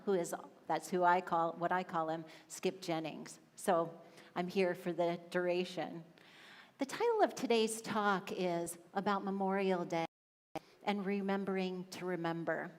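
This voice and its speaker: Woman speaking in a reverberant hall. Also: medium pitch. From Swedish and Lithuanian family. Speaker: spiritual practitioner giving the talk. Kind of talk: conversational sermon.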